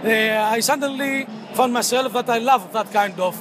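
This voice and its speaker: A man's voice: extremely high pitch, moderate pace, noisy background. A man in his thirties from Greece, talking in a casual interview outdoors and hesitating with many fillers and restarts. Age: 30s. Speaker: interviewee, football fan club member.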